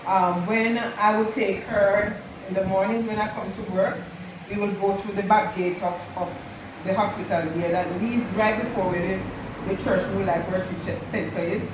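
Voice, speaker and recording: A woman, the host's mother, fondly telling stories about her daughter's childhood at an unhurried pace and repeating herself for emphasis. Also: medium pitch, fairly even intonation. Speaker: mother of the channel host, guest. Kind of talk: casual storytelling. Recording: echoey room, distant mic, noisy.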